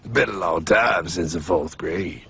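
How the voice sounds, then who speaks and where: A man's voice: sits low, measured pace, creaky, highs cut off, a little hiss or hum, heavily acted. A man voicing a cocky, swaggering male guide in a cartoon, drawling and calling people pal. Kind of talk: cartoon voice acting. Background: loud music.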